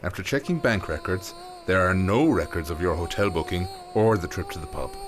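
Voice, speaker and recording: A man's voice: low voice, lively intonation, a little fast. A man playing a stern, sceptical police interrogator in an audio drama, curt and accusatory. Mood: stern, accusing.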